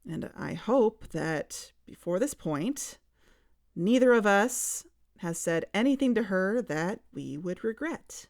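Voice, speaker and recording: A woman's voice: medium pitch, animated, takes it slowly, a little mellow, dry recording, studio quality. A woman playing an anxious, cautious and conflicted investigator in a naturalistic audio drama. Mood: wary.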